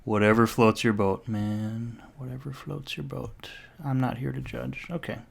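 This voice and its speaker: Male tutorial narrator, heavy vocal fry, offhand and jokey, wanders off topic, mid-range pitch. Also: little pitch movement, unhurried. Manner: rambling, jokey self-talk with mild swearing. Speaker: software tutorial maker. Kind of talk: casual screen-recorded tutorial.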